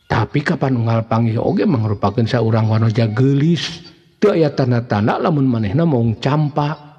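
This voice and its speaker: A man's voice: mid-range voice, darkish tone, husky. A man with a Sundanese accent narrating a folktale as a storyteller, in a dramatic style. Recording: muffled, long reverb, faint music behind.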